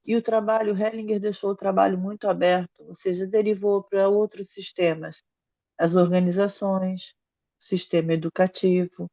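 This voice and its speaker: Brazilian lady therapist on a video call, slightly dull lo-fi sound, calm and thoughtful. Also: medium pitch, no echo. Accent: Brazilian Portuguese. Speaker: guest, family constellation therapist. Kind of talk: casual interview chat.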